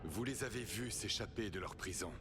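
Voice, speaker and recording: Un acteur jouant un dieu elfe ancien, grave et solennel. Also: male voice, on the low side, background noise, close to an everyday voice. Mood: solemn, disdainful. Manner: solemn, measured, formal. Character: ancient elven god, proud, calm, calculating rebel.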